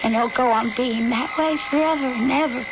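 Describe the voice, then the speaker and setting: Actress as a plaintive sickly daughter, noisy old radio. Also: female voice, high-pitched, fairly quick, close to an everyday voice. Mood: haunted, desolate. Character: frightened, sickly, lonely daughter clinging to her father. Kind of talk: old-time radio drama acting. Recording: noisy, dull old radio drama recording.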